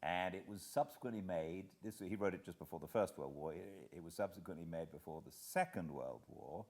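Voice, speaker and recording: A man's voice: low voice, fairly quick, darkish tone, husky. A British man, an actor and narrator, chatting casually to introduce a reading in a hesitant, rambling, reflective way. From Britain.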